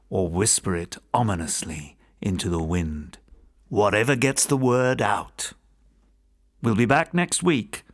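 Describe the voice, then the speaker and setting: A man giving scripted narration of gothic ghost stories as a host, in a theatrical, expressive storytelling manner. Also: fairly low pitch. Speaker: podcast host and audiobook narrator.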